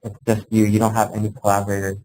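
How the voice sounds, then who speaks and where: A man with a fairly low voice leads an informal workplace training session. His delivery is very even and unanimated as he explains things plainly, one step at a time. There is some faint noise behind him in the live recording. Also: very fast, rough recording. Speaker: presenter of a workplace software training. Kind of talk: informal instructional presentation to a group.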